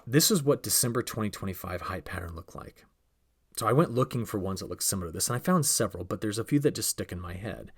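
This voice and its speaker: A man with a fairly low voice gives an informal weather briefing. He explains like a forecaster talking straight to his followers, conversational and emphatic, often putting questions to the listener. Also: lively intonation, fast, husky. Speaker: weather forecaster presenting an outlook.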